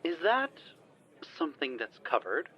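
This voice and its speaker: A man acting a smooth-talking team member in an audio drama, putting on formality and stumbling as he bluffs. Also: a little high, huge pitch swings, measured pace, highs cut off. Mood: flustered bluffing.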